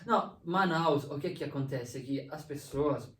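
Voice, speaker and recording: A man's voice: fairly high pitch, moderate pace, a bit bright. Italian man speaking hesitant Portuguese. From Italy. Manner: hesitant, many fillers and restarts. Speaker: guest. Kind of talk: casual interview conversation. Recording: echoey room, mic at a distance.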